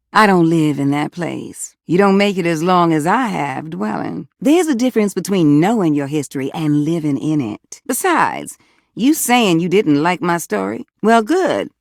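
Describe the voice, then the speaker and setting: A flirty, motherly water heater from a video game, with a folksy Southern drawl. Her voice is low and gravelly, swinging freely up and down as she teases and dotes. The sound is close and dry. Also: no room sound. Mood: wistful, teasing. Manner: teasing, folksy, full of endearments. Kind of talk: video game character dialogue.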